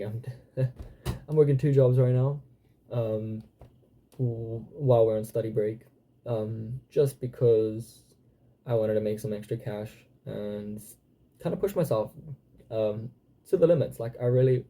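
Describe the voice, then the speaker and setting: A young South African man in his twenties, speaking in a dark, gravelly voice with a husky edge. He talks to camera haltingly, full of ums and restarts, with the odd curse thrown in. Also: lowish voice. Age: 20s. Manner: hesitant, many fillers and restarts, occasional swearing. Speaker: channel host. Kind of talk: casual personal vlog.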